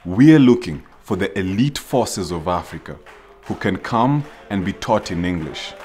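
A man's voice: sits low, a little mellow. A man giving earnest, declamatory scripted narration for a promotional film. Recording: music bed underneath.

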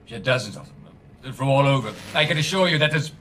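A man's voice: medium pitch, animated, quick pace, a bit bright, far from the mic, slight background noise, close to an everyday voice. Man playing swooping, genteel English TV presenter. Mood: faltering cheer. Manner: chatty, faltering, falsely cheery presenter patter. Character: genteel male television presenter cracking with grief. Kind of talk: mid-century TV presenting, game acting.

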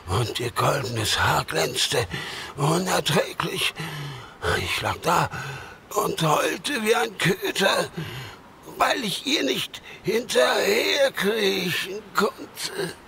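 An old northern warrior, gravelly and slow, with wide swings of pitch. He tells legends solemnly, halting as if haunted, in an audio drama. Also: animated, bright, forceful tone, a little hiss or hum, full character voice. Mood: haunted awe. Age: older.

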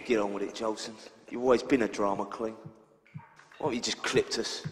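An actor playing a bitter, hard-boiled London ex-gangster in a video game, terse and gritty, with a London accent. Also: male voice, fairly low pitch, steady tone, a little fast, soft background music, close to an everyday voice. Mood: scornful, defiant. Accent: London English. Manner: terse, gritty, foul-mouthed. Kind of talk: video game cutscene acting.

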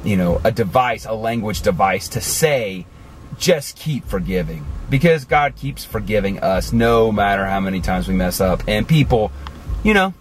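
A man in his forties talking casually to the camera from inside a car, animated and chatty, with many asides. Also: fairly low pitch, normal speed, a little hiss or hum, poor audio. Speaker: vlogger. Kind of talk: casual motivational talk to camera. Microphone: camera on the dashboard.

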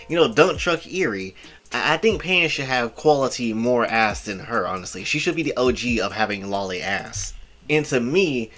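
A man in his early twenties hosting a casual anime art commentary, rambling with repeated filler phrases and sudden loud outbursts. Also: mid-range voice. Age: 20s. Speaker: channel host.

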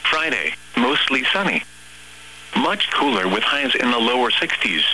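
A synthetic male text-to-speech voice reading weather radio forecasts and observations in a measured, formal, impersonal way. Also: mid-range voice, very animated, sharp tone, slightly rough, rough recording. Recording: weather radio broadcast with hum and static.

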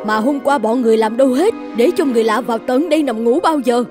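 An actress as a middle-aged official's wife in a folk-tale cartoon. She is bossy and fussy, with a somewhat high, lightly bright voice and an insistent way of talking. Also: fast, over music, close to an everyday voice. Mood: scolding.

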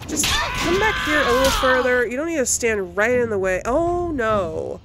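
A woman's voice: high-pitched, sing-song, normal speed. A woman hosting a gaming video, thinking aloud in casual let's play commentary. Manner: thinking aloud, muttering to herself. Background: loud game music and combat sounds.

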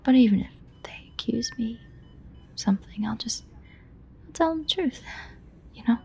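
A woman playing an obsessive, guilt-ridden yandere lover in a whispered audio roleplay, halting, breathy, intimate and pleading. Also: fairly high pitch, expressive, a little mellow, heavily acted. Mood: obsessive, uneasy. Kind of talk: whispered dramatic audio roleplay addressed to a listener.

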